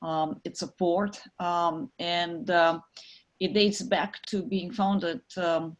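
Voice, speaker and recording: A middle-aged woman from Ukraine, a guest in an interview, talking with many pauses, fillers and restarts. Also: on the low side, level tone. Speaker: guest, tech executive. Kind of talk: conversational interview.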